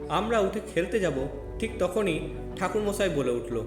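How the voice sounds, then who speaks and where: A man's voice: high voice, expressive, moderate pace. A man narrating a scripted first-person audio story. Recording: echoey with long reverb. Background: faint music.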